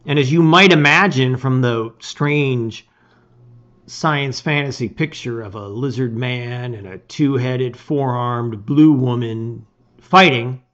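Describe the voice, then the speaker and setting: A middle-aged man with a mid-range voice hosts a read-aloud. He speaks expressively and leans on key words, then lets phrases fall away softly. The recording is a little dull and lo-fi. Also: mid-range voice. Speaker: host reading a gamebook aloud. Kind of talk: casual intro and dramatic read-aloud of storybook narration. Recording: slightly dull, lo-fi sound.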